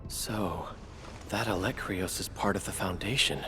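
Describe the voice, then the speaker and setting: A weary male commander in a video game. His voice is fairly low, and his manner is calm, subdued and questioning. Music is clearly heard behind him. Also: unhurried.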